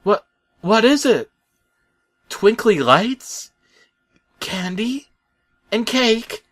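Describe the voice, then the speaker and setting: A man voicing an excitable, childlike talking toy truck in a storybook parody, in a high, squealing voice. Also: takes it slowly, heavily acted. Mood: eager.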